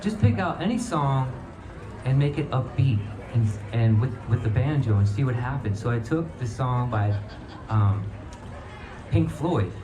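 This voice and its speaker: A man's voice: mid-range voice, animated, measured pace, reverberant, a crowd in the background. A middle-aged American man from Virginia, a banjo musician giving casual, rambling, folksy and upbeat banter between songs at a live festival. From Virginia, United States.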